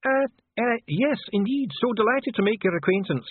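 A man's voice: high-pitched, lively intonation, moderate pace, slightly rough, no echo, phone-quality sound, voice pushed up. A man voicing a flustered, indignant dwarf court official in an audiobook, hesitant and muttering. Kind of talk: audiobook character voice.

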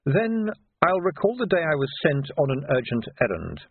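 A man voicing a composed, coolly formal aristocratic ruler in an audiobook, measured and subtly ironic. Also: on the high side, wildly swooping pitch, breathy edge, no room sound, narrow, tinny audio, pitched above the performer's own voice, heavily acted. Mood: smooth, ironic.

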